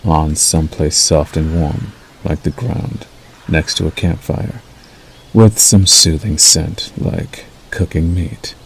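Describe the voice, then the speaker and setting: A man voicing a possessive werewolf lover in intimate ASMR roleplay, menacing yet tender, coaxing slowly and soothingly. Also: very deep voice, vocal fry, a little hiss or hum, full character voice, poor audio. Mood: soothing, sultry. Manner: slow, soothing, coaxing with dark undertone.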